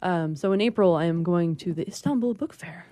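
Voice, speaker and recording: A woman speaking as a bookseller guest in a casual radio interview, informal and gently enthusiastic. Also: on the low side, a little fast, dry recording, clean studio sound. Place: radio studio.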